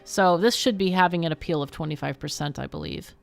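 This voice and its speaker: Low, creaky-voiced woman streaming a game. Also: fast talker, soft background music. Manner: chatty, expressive, mutters to herself while playing. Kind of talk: casual live gameplay commentary, thinking aloud.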